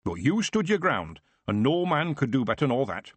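A man's voice: medium pitch, very animated, moderate pace, breathy edge, no echo, pitched above the performer's own voice. A man doing an old rustic stable hand. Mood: wry. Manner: rustic dialect speech.

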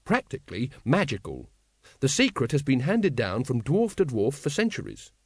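A man's voice: mid-range voice, huge pitch swings, normal speed, slightly rough, no echo, studio quality, full character voice. Man doing an earnest, guileless young watchman. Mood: proud, cheerful. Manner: guileless, eager, polite. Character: earnest, literal-minded, cheerful young male watchman.

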